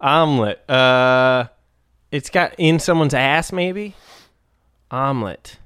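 A man chatting casually as a comedy podcast co-host, crude and joking, quick to laugh. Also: medium pitch, a bit bright, smooth, no room sound, studio quality.

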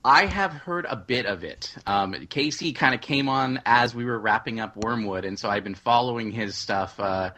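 A man speaking as a guest in a casual panel discussion, explaining things haltingly and repeating words. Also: mid-range voice, bright, forceful tone. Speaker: podcast guest, audio drama writer-producer.

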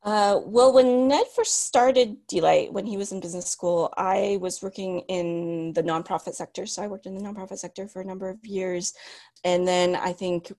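A woman's voice: mid-range voice, fairly even intonation, moderate pace. A woman in her thirties telling her story as an interview guest, reflective and full of restarts. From United States. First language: Cantonese. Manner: reflective, many restarts and ums, laughs softly. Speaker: guest, author.

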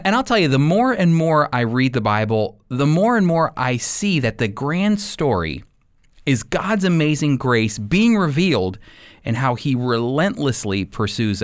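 Guy doing radio bible teaching, really lively up and down pitch, leans hard on key points. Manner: emphatic, repeats key points for stress.

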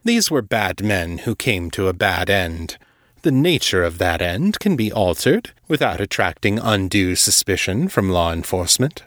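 A man acts out an urbane vampire lord in his fifties for an audiobook. The voice is very low and closely dry. He speaks formally and without hurry, gently condescending, like someone used to command. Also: sing-song, no room sound. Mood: chiding, reassuring. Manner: formal, unhurried, smoothly chiding.

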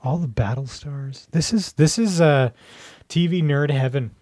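A man's voice: a little high, a little slow, darkish tone. Casual man hosting a podcast chat. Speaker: podcast host. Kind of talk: casual chat, asking questions.

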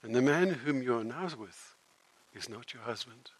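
Brief: man as a quiet, gentle teacher, soft and a little low, dry sound. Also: animated, dry recording, close to an everyday voice, low-quality recording. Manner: soft, measured and unhurried.

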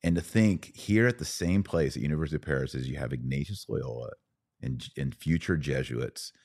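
Very deep-voiced man, dry close sound. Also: a little fast, a little mellow, no room sound. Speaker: podcast host. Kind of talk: conversational religious discussion.

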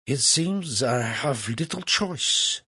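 A man voicing a young musician in a storybook, formal and measured, quietly defiant and wry. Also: medium pitch, big pitch swings, slightly rough, no room sound, studio quality, voice pushed up, heavily acted. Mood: mock reluctant. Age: young adult. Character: dry young male musician, mock-reluctant, quietly defiant.

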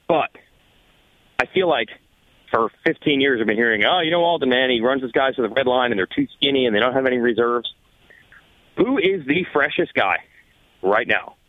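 A man's voice: mid-range voice, expressive, a little fast, dry recording, narrow, tinny audio. A man in a casual sports podcast discussion, arguing his points emphatically with rhetorical questions. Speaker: motorsport podcast panelist.

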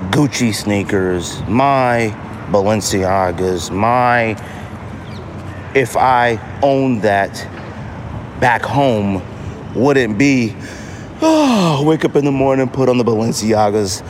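Casting: American male podcast host, very unhurried delivery, casual and emphatic, recorded over loud urban street noise. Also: fairly low pitch. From United States. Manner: emphatic repetition of phrases. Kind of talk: casual solo podcast monologue. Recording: noisy outdoor city street ambience. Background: street noise, traffic, banging.

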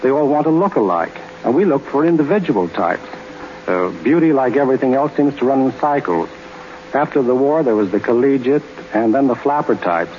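A man giving measured, formal, slightly halting answers as a guest in a scripted 1930s radio interview. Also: medium pitch, expressive, a little mellow. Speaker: interview guest, fashion and model expert. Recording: muffled, noisy 1930s radio broadcast.

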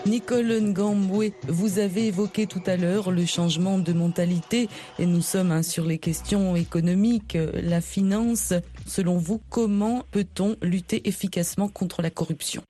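A woman hosting a radio interview, putting composed, probing questions in a measured way. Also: medium pitch, steady tone, dark tone, soft background music.

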